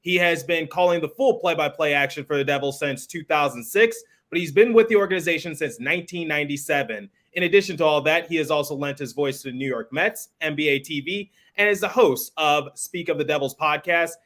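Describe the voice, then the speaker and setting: Male podcast host, slightly high register, upbeat sports interview delivery. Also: fairly quick, hardly any pauses, a bit bright.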